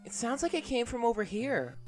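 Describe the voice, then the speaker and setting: Woman voicing a high, gentle young monster child. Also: big pitch swings, fairly quick, soft background music. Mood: concerned. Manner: polite, caring, inquisitive. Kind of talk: audio drama character voice.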